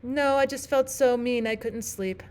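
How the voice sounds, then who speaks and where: A woman voicing a sour, fretful farm wife in a read-aloud storybook, slow and complaining. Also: fairly high pitch, darkish tone, lightly acted.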